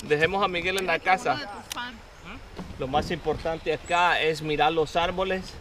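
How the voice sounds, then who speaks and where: A man in his thirties vlogging with excitement while fishing from a kayak on a river, switching between Spanish and English. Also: a little high, measured pace, crowd noise. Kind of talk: casual vlog narration while fishing. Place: outdoors on a river, wading and kayaking. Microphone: action camera on a kayak. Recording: noisy outdoor river audio.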